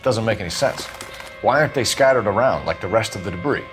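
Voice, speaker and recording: A man's voice: lowish voice, expressive, quick pace, over music, lightly acted, rough recording. An actor playing a terse, brooding American detective in his thirties in a 1940s crime video game. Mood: questioning, pensive. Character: intense, cerebral young male 1940s police detective.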